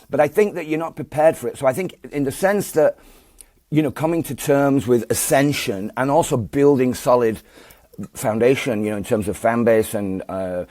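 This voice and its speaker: A man's voice: mid-range voice, a little mellow, no echo. A man in his fifties with a Northern English Yorkshire accent, a guest telling a rambling story full of fillers and restarts. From England. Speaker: guest musician. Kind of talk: relaxed storytelling interview.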